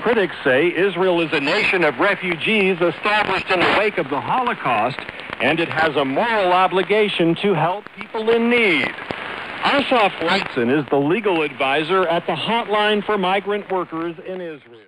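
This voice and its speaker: A man's voice: sits high, lively intonation, normal speed. A man reading a scripted news report over shortwave radio. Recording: noisy hissing shortwave radio reception.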